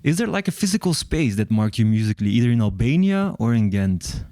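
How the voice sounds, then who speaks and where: A man's voice: mid-range voice, very animated, fairly quick, mellow, dry recording, studio quality. A man hosting an interview, asking questions in a casual way with many fillers. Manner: filler-heavy, many ums. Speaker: interviewer host.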